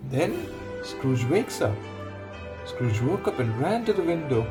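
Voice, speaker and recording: A man reading a picture book aloud to children, pausing to explain things and put questions to them. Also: a little high, big pitch swings, music in the background. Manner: pauses to ask and explain to young listeners. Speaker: storybook reader. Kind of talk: read-aloud narration for children.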